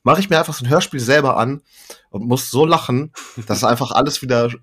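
A man's voice: mid-range voice, fast, a bit bright, slightly rough. A man talking as a podcast guest in casual conversation, rambling, interrupting himself and repeating phrases for emphasis. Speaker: podcast guest, poetry slammer and author.

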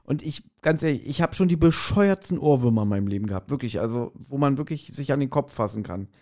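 A man co-hosting a podcast, telling anecdotes in casual, animated chat and acting out bits as he goes. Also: medium pitch, quick pace. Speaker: podcast co-host.

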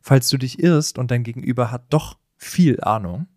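A man's voice: mid-range voice, normal speed, no echo, clean studio sound. A man hosting a podcast, giving casual, direct advice in a coaching manner. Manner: coaching, direct, often laughing.

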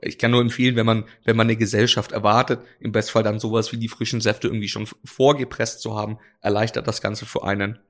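A man's voice: lowish voice, flat delivery, fast talker, few pauses, fairly bright tone. A man, a drinks expert and interview guest, talking casually and rambling with many fillers and restarts. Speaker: drinks brand ambassador, guest.

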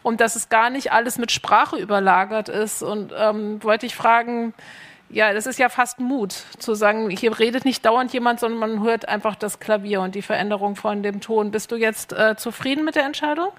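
Higher-voiced woman moderating a panel. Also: fairly even intonation, normal speed, a bit bright. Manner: appreciative, asks guests open questions.

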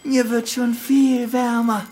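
An eager little mouse from a children's audio drama. The voice is squeaky and high, drawn out slowly with enchantment. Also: female voice, level tone, a little mellow. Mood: eager, enchanted.

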